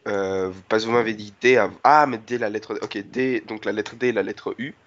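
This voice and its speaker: A man's voice: on the low side, a bit bright, no echo, natural voice. A man on a prank call playing a polite, dim-witted barman who is earnest and hopelessly confused by spelling. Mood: politely puzzled. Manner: slow-witted, earnest, muddled, asks for repeats. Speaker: prank caller. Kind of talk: improvised prank phone call. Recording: dull lo-fi phone call audio.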